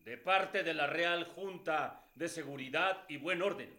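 A man's voice: on the high side, measured pace, bright, forceful tone. A man acting in a radio drama as a stern, officious colonial royal officer with a commanding, declamatory delivery.

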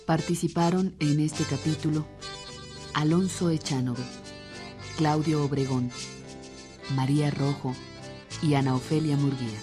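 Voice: mid-range voice, steady tone, measured pace, long pauses. An announcer reading scripted credits for a radio drama in a formal style. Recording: music under the voice.

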